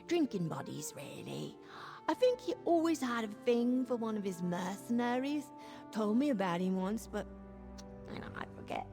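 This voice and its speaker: A woman's voice: a little high, vocal fry. An actress playing a drunken female squatter in a fantasy video game, rambling, stumbling over words and lying badly. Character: tipsy, rambling female squatter, chatty shameless bad liar. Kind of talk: video game character dialogue.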